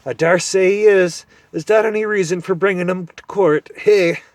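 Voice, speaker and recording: A man voicing a deaf, irritable old male dwarf who grumbles loudly and impatiently, in a storybook reading. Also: high voice, fairly even intonation, moderate pace, no echo, voice pushed up, natural voice. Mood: cranky.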